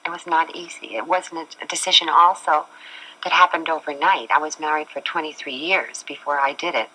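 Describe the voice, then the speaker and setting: A woman's voice: deep voice, steady tone, fairly quick, sharp tone, low-quality recording. A woman in her forties from Chile, a talk show guest speaking earnestly and at length about her own life, recorded on an old TV studio set. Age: 40s. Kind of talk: candid personal talk.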